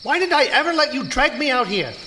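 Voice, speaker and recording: High-voiced, nervous young man. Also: big pitch swings, fast, sharp tone, a little hiss or hum, exaggerated voice. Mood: anxious, protesting. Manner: anxious, protesting, earnest. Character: nervous, reluctant young man with an aesthete's awe. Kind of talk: theatrical audio drama acting. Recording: night insect ambience behind.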